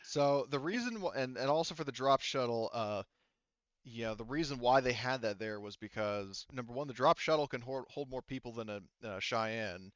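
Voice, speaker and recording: Guy gm-ing a tabletop game, rambly and stop-start, crisp voice but slightly dull audio. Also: medium pitch, fairly bright tone, no echo. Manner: halting, explains in rambling detail. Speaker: game master. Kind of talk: casual tabletop game narration.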